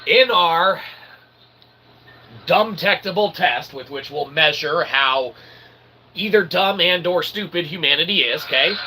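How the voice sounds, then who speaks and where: A man's voice: fairly high pitch, measured pace, pauses often, pushed and bright, breathy edge, poor audio. A man hosting a comedy show in a rambling monologue, with wordy mock-formal phrasing and theatrical showman delivery. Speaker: host of a comedy philosophy show.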